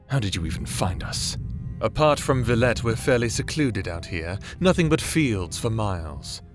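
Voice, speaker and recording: A menacing conqueror of a fantasy audio drama, acted by a man, with a lowish voice and very slow, deliberate delivery. He is possessive and haughty, phrasing everything formally and looking down on whoever he addresses. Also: big pitch swings, music in the background. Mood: suspicious. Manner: formal, imperious, deliberate, condescending. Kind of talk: fantasy audio roleplay acting.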